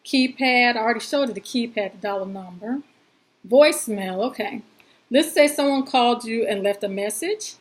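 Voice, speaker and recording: A woman's voice: on the high side, normal speed. A woman presenting an informal step-by-step tutorial in a chatty, folksy and reassuring way, using plain everyday words.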